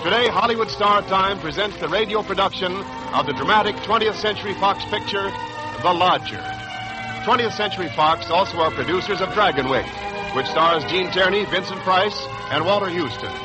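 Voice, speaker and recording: A man's voice: sits high, normal speed, music in the background, rough recording. A man announcing in 1940s radio style, polished, emphatic and declamatory. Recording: old 1940s radio broadcast.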